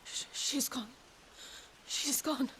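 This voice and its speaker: A woman's voice: slow pace, a bit bright, a little hiss or hum. A woman playing a desperate, unravelling noblewoman in a video game, distraught and repeating herself. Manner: repetitive, distraught. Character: desperate, unravelling noblewoman servant of a cruel master. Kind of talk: cinematic video game acting. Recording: game music behind.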